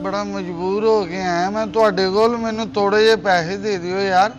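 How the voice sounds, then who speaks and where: High-pitched pleading addict begging , acted by a man. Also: steady tone, normal speed, dull audio, slight background noise, natural voice. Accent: Pakistani Punjabi. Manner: pleading, insistent. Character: desperate pleading drug addict begging money for sick mother. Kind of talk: amateur moral-story drama acting.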